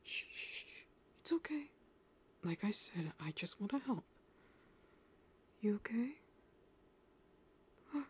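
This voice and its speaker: Gentle, caring teen anime boy played by a woman, very breathy and often whispered, slow and soothing. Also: mid-range voice, big pitch swings, heavily acted. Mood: tender concern. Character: gentle, caring teenage boy comforting a frightened partner. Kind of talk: anime audio roleplay.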